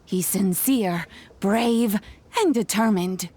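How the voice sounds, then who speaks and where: An actress playing a kindly, proud, doting old granny in a game, with a gentle, fond manner. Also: female voice, mid-range voice, takes it slowly, husky. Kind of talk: game voice acting.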